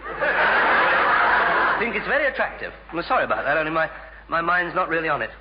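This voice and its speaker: A man's voice: on the high side, big pitch swings, normal speed. An actor playing a flustered, bumbling, well-meaning English bachelor in an old British radio sitcom, stammering and tripping over his words. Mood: nervous, wry. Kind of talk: 1950s-60s British radio sitcom acting. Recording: muffled vintage radio recording, faint hiss.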